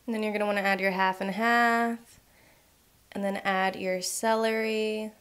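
A young woman hosting a home cooking channel, walking through a recipe in a relaxed way with lots of "um" and "like". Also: mid-range voice, a little slow. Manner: relaxed, filler-heavy instructions with frequent "um" and "like". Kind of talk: casual recipe voice-over.

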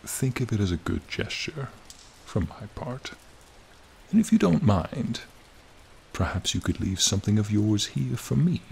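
A man voicing a charming, friendly ancient dragon in a soothing, formal, gently teasing ASMR roleplay. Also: lowish voice, gravelly, a little hiss or hum, exaggerated voice, poor audio. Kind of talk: ASMR roleplay, slow intimate monologue. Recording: rain and cave ambience behind.

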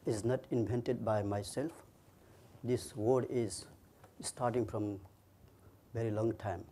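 A Nepali-accented man speaks to an audience about his book, laying out his points in short, earnest pieces. His voice is mellow and warm, with a faint hiss of noise in the recording behind it. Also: mid-range voice. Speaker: author giving a talk. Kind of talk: lecture.

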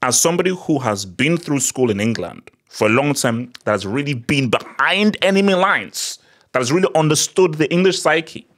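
A man in his thirties with a Nigerian accent, giving sarcastic, animated political commentary into a desk microphone in a small studio. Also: a little high, moderate pace. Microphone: desk mic on boom arm.

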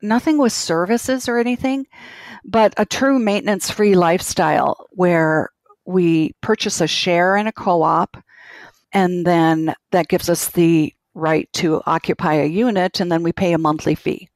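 A woman's voice: lowish voice, a little slow, dry recording. A woman in her sixties with a Minnesota accent calling in to an advice show, plainspoken and a little anxious. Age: 60s. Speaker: caller. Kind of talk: phone-in conversation.

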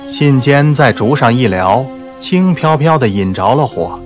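A man narrating a novel as a scripted audiobook, in a measured, literary storytelling manner. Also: mid-range voice, lively intonation. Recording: soft background music under the voice.

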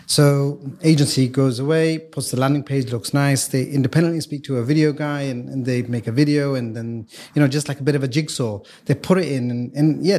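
A man's voice: mid-range voice, dark tone. A man who works as a video strategist, explaining his work as a podcast guest in a casual way, often restarting and repeating words.

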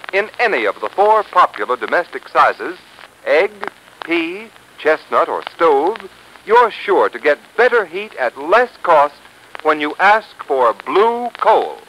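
Old time american radio ad guy, formal and punchy, highish bright voice, bit of hiss and noise. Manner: formal, emphatic salesmanship. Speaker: radio announcer. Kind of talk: 1930s radio advertising read. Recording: noisy old 1930s radio recording.

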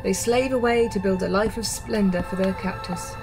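A woman's voice: mid-range voice, normal speed, close to an everyday voice, poor audio. A woman playing an earnest, disillusioned rebel who explains things emphatically in a radio drama, over music. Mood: grave. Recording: music bed under the voice.

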